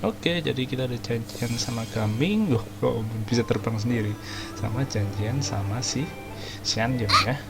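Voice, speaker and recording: Indonesian guy doing chill gameplay commentary, some vocal fry, drops english bits, game music loud in the back. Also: mid-range voice, moderate pace. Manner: informal, mixes in English phrases. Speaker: gaming video host. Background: video game music.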